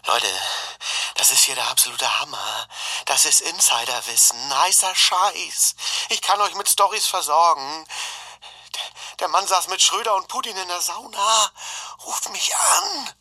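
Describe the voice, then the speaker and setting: Manic clinic patient, husky, very sharp tone, swinging pitch, conspiratorial audio-diary confessions, radio comedy. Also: male voice, animated, a little slow, full character voice. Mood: manic, urgent.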